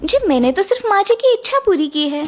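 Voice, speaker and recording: Woman playing a very high-voiced, sweet young daughter-in-law. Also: big pitch swings, brisk, faint music behind. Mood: innocently clever. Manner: polite, deferential. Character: clever, dutiful young Indian daughter-in-law, sweetly polite. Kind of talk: cartoon moral-story voice acting.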